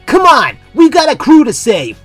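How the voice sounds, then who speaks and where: A woman's voice: high-pitched, wildly swooping pitch, moderate pace, soft background music. A woman playing an anxious but earnest teenage boy space captain in a comic dub. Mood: urgent, resolute.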